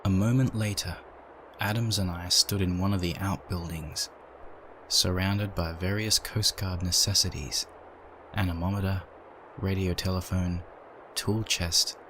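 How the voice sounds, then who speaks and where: A man with a deep, low voice narrates a dramatic first-person story. He reads from a script, with noticeable ambient sound behind him. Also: a bit bright, slight background noise, low-quality recording.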